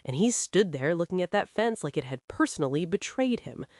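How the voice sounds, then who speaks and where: A woman's voice: on the low side, sing-song, a little fast, darkish tone, no echo, clean studio sound. A woman voicing a big, guileless young male ranch hand in an audio drama, chatty, eager and cheerful. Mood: gleeful storytelling.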